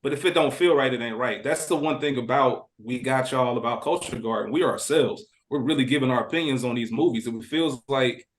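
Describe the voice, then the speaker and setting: Slangy man in his thirties, mid-pitched. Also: fairly quick, few pauses. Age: 30s. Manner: slangy, profane, rhetorical questions. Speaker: podcaster. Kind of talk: casual chat.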